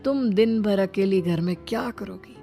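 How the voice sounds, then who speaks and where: A woman's voice: mid-range voice, moderate pace, vocal fry, dark tone, soft background music, lightly acted. A woman voicing a young married man in a cartoon family story, in an earnest, conversational manner. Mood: coaxing. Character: young married man, coaxing but easily irritated husband.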